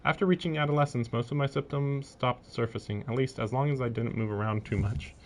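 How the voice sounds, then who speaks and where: A man's voice: mid-range voice, a little fast, darkish tone, a little hiss or hum. Casual male streamer reading aloud. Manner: casual, amused asides. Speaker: livestreamer. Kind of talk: reading a visual novel aloud with casual commentary.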